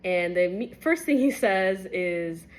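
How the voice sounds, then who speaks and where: A woman's voice: expressive, takes it slowly. A woman in her twenties, a medical resident born in Kenya, candidly telling a personal story to camera with many fillers. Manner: candid, conversational, many fillers, rising pitch when recounting shocking moments.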